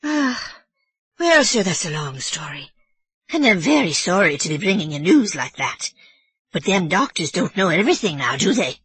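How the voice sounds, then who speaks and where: A woman's voice: on the low side, sing-song, moderate pace, fairly bright tone, slightly rough, heavily acted. A woman voicing an anxious elderly housekeeper woman with an Irish brogue, apologetic and deferential. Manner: apologetic, deferential, sir-ing constantly.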